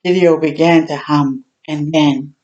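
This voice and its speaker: A woman reading a children's story aloud as a storytime host, adding gentle chatty reactions as she goes. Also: low-pitched, steady tone, unhurried, lo-fi sound. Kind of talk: read-aloud narration with chatty asides.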